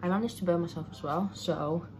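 A woman's voice: lowish voice, fairly quick. Young woman, cooking vlogger, casual rambling chat into a nearby phone, kitchen noise. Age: young adult. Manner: chatty, rambling, many fillers and self-corrections. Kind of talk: casual cook-along chat. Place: home kitchen, indoors. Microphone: phone camera propped close, moving around.

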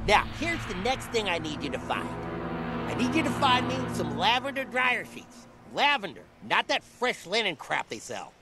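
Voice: mid-range voice, natural voice. An actor playing a folksy, greedy male trader in a game who bosses a kid around, over game music. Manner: folksy, hustling, bossy.

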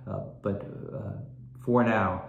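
Halting priest speaking in a roomy home. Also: male voice, lowish voice, steady tone, gravelly, lo-fi sound, slight background noise. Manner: halting, many fillers and restarts. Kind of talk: informal address and collect prayer. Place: home room, indoors. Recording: roomy echo.

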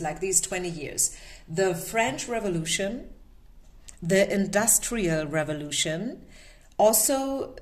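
A woman with a German accent giving a casual tarot and energy reading, often checking in with listeners by asking "okay?". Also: mid-range voice. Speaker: tarot reader. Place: small room at a table with candles.

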